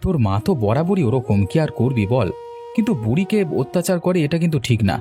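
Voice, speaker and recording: A man's voice: fairly high pitch, big pitch swings, fast talker, warm tone, faint music behind. Man voicing a reassuring young village friend. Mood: concerned. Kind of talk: cartoon voice acting.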